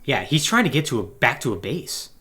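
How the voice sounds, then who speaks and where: A man with a bright, forceful voice and a middling pitch that swings around a lot. He talks casually as a podcast co-host, rambling through explanations with joking exasperation. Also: big pitch swings, fairly quick. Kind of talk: casual podcast talk explaining game storylines.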